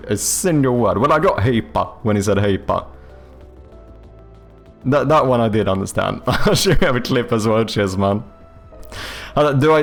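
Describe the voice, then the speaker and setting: A young man with a Swedish accent chatting loosely on a game development stream, swearing often and filling gaps with ums and likes. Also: medium pitch. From Sweden. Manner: loose and profane, full of fillers and restarts. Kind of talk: casual live-stream chat.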